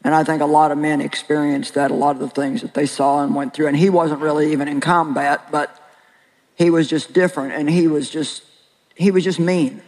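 Older woman preacher, very deep voice, earnest and plain-spoken, slow deliberate pauses, echoing large hall. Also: steady tone, a little mellow. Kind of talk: sermon with personal testimony.

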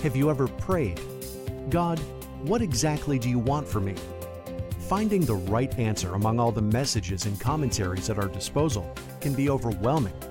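A man reading a smooth, measured promotional voice-over. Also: mid-range voice. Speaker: announcer. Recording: voice-over with music bed.

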